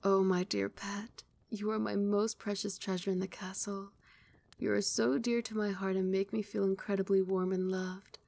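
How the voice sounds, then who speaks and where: ASMR casting: a young woman as a tender, possessive vampire queen, soft soothing whisper, close mic. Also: mid-range voice, little pitch movement, moderate pace, gravelly. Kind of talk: ASMR roleplay.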